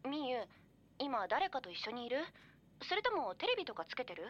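Dull phone-filtered, high-voiced worried young woman. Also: very animated, moderate pace, fairly bright tone, exaggerated voice. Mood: concerned. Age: young adult. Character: young woman, caring, worried, hesitant best friend. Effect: telephone voice filter. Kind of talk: Japanese horror radio drama acting. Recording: band-limited, phone-call sound.

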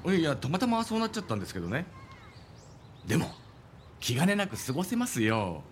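A man's voice: fairly high pitch, wildly swooping pitch, fairly quick, slight background noise. An actor playing a polite, evasive male rental agent in a radio drama, hesitant yet reassuring.

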